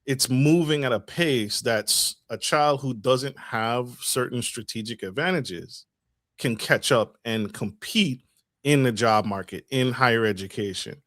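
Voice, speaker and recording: Male podcast host, mid-pitched, dry close recording, emphatic stacked questions. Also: measured pace, fairly bright tone, no echo. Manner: asks long, stacked questions with emphatic rephrasing. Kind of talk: podcast interview.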